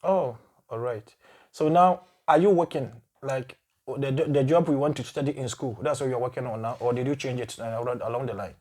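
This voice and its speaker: A man's voice: mid-range voice, fairly quick, darkish tone. A man hosting an interview show, asking short casual questions and giving frequent backchannels. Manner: conversational, brief prompts and frequent backchannels. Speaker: show host.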